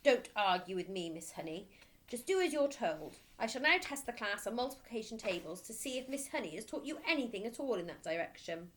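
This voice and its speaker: A woman's voice: fairly high pitch, sing-song, a little fast, fairly bright tone, slight background noise. Woman acting a ferocious, barking bully of a headmistress. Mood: contemptuous. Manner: barking, bellowing, commanding, insulting. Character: giant, bullying, furious headmistress, ferocious and contemptuous.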